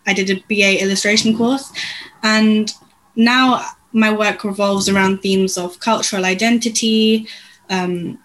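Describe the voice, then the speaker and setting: A young woman in her twenties, an illustrator being interviewed, who answers thoughtfully and lists her ideas with small pauses. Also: medium pitch, fairly even intonation, a bit bright, low-quality recording. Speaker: illustrator, podcast guest.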